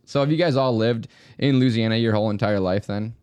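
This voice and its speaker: A fairly low-voiced man with a northern US accent chats casually as a podcast host. He leans on "like" and "you know," laughs easily, and sounds close and dry on the mic. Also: brisk, darkish tone, smooth, no room sound. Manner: chatty, laughs often, many "like" fillers.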